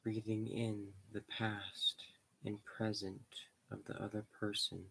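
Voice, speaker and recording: A man leading a guided meditation in slow, measured short phrases with pauses between them. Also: lowish voice, level tone, creaky, fairly bright tone, husky, rough recording.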